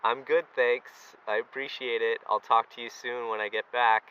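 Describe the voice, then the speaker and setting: A man's voice: medium pitch, fairly even intonation, normal speed, lo-fi sound, a little hiss or hum. A man giving a casual, rambling, conversational product review, recorded outdoors. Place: outdoors on a lake.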